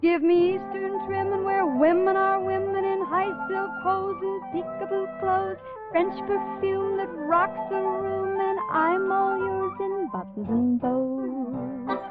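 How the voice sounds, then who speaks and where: A woman's voice: extremely high pitch, fairly even intonation, takes it slowly, loud music behind the voice. A woman singing a novelty swing song in a 1940s style, backed by musical accompaniment. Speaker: singer. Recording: muffled vintage recording with loud band.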